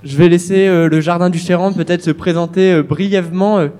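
A man hosts a local radio interview in a mellow, slightly raised voice. He introduces his guests and hands over the floor informally, with frequent fillers. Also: sits high, steady tone, fairly quick. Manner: informal, hesitant, filler-heavy. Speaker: radio host.

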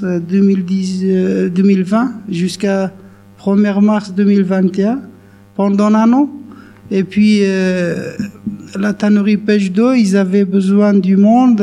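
A man speaks slowly in a high but darker, gravelly voice. He gives a careful personal testimony on the radio, stopping often before each phrase. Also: dark tone. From Afghanistan. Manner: slow, careful, pausing often. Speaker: former garden employee, now forklift driver.

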